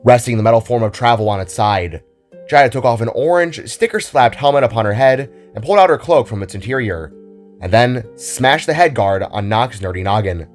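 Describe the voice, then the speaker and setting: A man's voice: mid-range voice, expressive, brisk, soft background music. A man narrating a scripted adventure story in a dramatic style.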